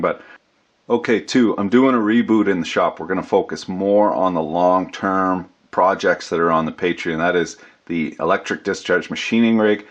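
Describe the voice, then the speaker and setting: A middle-aged man hosting a workshop channel, talking casually off the cuff with salty, folksy slang and swearing. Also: sits low. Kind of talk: casual, unscripted channel update.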